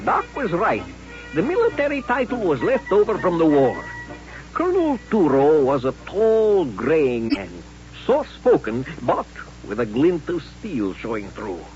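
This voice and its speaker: A folksy frontier storyteller narrating a radio Western. His voice is fairly high and swings very widely in pitch. Faint music sits behind the muffled old recording. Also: wildly swooping pitch, measured pace. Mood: reflective. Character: folksy Old West storyteller, doctor's companion.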